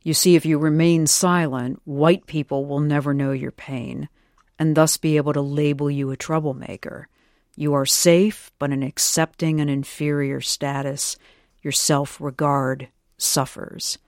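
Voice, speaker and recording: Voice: mid-range voice, flat delivery, unhurried, dry recording. Thoughtful interviewer in a dry studio sound. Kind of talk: conversational radio interview.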